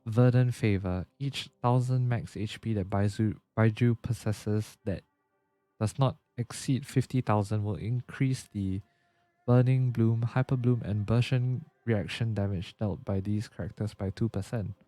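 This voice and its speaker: A man with a low, mellow voice talks casually through a video game as he plays. He reads on-screen text aloud, tripping over words here and there, while soft music plays behind him. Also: steady tone, unhurried, no echo. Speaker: livestreamer.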